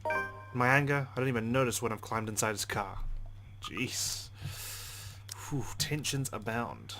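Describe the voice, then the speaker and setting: A man's voice: mid-range voice, a little slow, over music. A young man hosting a let's play, reading game narration aloud with casual reactions.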